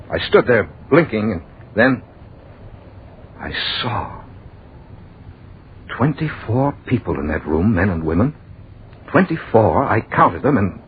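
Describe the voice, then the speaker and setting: A noisy, phone-like vintage radio recording of a young man, haunted and confused. He narrates in halting fragments, dramatically repeating words, with his pitch swinging widely as in 1940s radio acting. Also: very animated. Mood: haunted, awed. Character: bewildered, haunted young man recounting a mad adventure.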